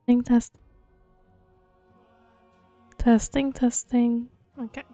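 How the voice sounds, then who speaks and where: A woman with a fairly high voice chats casually through a game playthrough. She is unhurried and a little hesitant, and the microphone sounds somewhat muffled over the game music. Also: flat delivery, mellow, no room sound. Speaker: game streamer. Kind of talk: casual game playthrough commentary, reading dialogue aloud. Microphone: gated microphone, uneven pickup.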